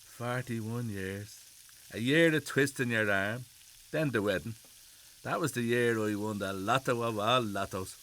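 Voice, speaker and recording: A man's voice: mid-range voice, unhurried, a bit bright, natural voice. Man acting a charming older Irish husband. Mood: doting. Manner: jokey, charming. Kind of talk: radio drama acting.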